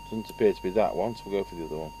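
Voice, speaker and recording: A man with a low-pitched voice talks casually through a hands-on repair job. He speaks in short remarks, half to himself. There is noticeable noise behind his voice, recorded indoors at a workbench. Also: very fast. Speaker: radio repair vlogger. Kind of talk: casual hands-on bench commentary. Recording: clear background noise from a workshop. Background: background noise.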